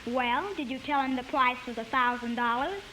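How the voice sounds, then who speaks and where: A man playing a vain, exasperated, tightfisted boss in a 1950s radio comedy, with drawn-out delivery. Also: very high-pitched, fairly even intonation, pushed and bright, poor audio. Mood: probing. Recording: hissy 1950s radio broadcast recording.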